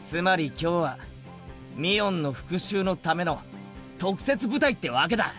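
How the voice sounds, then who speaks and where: A man's voice: sits high, lively intonation, brisk, a bit bright, soft background music. A man voicing a cocky, hot-blooded teenage schoolboy in a visual novel, in anime game voice-acting style. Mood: cocky, fired up.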